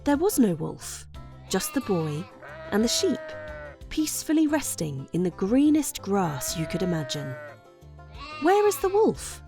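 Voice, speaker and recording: A woman narrating a scripted children's bedtime story with expressive, deliberate phrasing. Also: mid-range voice, over music.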